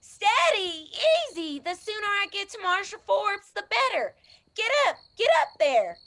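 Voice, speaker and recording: A woman's voice: very high-pitched, very animated, measured pace, pushed and bright, exaggerated voice. A woman playing a feisty, folksy older cowgirl in an old-time western radio drama. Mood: urgent, bossy.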